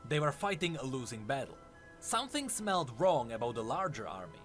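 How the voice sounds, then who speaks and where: A man's voice: medium pitch, big pitch swings, fairly quick, fairly bright tone, faint music behind. A man narrating a scripted story in a solemn, theatrical way. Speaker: lore narrator.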